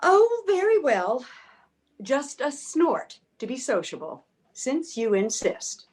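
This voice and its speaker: Woman playing a brisk, high-voiced proper old lady. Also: wildly swooping pitch, darkish tone, full character voice. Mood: firm. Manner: formal, matter-of-fact, bossy. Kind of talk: comedic readers theater acting.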